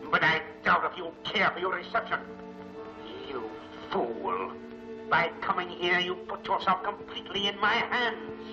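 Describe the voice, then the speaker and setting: An actor playing a menacing masked crime lord in a 1940s film serial, gloating in a theatrical, imperious and formal manner. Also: male voice, high-pitched, expressive, a little slow. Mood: gloating menace. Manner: theatrical, ominous, grandly formal. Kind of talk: 1940s movie serial acting. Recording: muffled old 1940 film soundtrack, music.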